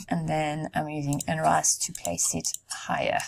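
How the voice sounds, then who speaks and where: A woman giving casual commentary while building in a life-simulation game, musing aloud with many ums and short pauses, her voice going hoarse. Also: sits low, flat delivery, rough recording. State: losing her voice. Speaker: Sims content creator.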